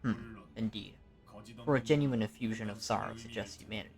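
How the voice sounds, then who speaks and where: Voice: medium pitch, brisk, music in the background, close to an everyday voice. A narrator voicing a cold, zealous inquisitor who speaks formally and sternly of heretics, as in a story game. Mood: stern, righteous.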